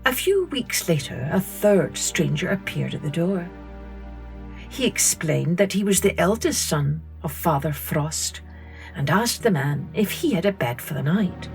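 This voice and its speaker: A woman narrating scripted stories for children in a measured, deliberate way with pauses. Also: fairly low pitch, animated, vocal fry, faint music behind. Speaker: podcast host and storyteller.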